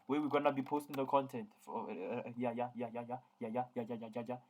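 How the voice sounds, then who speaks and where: A man's voice: medium pitch, fast talker. Playful South African male tutor. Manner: playful, jokey, repeats words. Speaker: accounting tutor. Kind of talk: online lecture.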